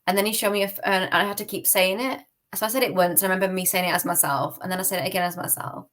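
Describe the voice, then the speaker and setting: A woman's voice: mid-range voice, fairly even intonation, rapid-fire, a bit bright. A woman from the UK with a British accent, telling a personal story casually as a podcast guest. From United Kingdom. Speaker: podcast guest giving testimony.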